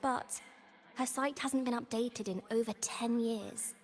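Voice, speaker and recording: A woman's voice: on the high side, steady tone, a little slow, darkish tone. A woman voicing an earnest, slightly worried young female student in a video game. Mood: earnest, concerned. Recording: long reverb under game music.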